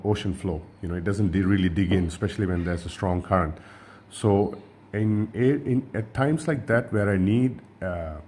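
A man from Sri Lanka, a fishing channel host, giving a relaxed hands-on tutorial at a table and often repeating and restarting his words. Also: on the low side, a little hiss or hum.

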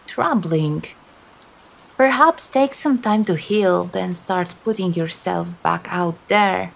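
A woman voicing a teasing but sympathetic female demon in an intimate ASMR roleplay, coaxing softly with dramatic hesitations. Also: medium pitch, creaky, heavily acted, rough recording.

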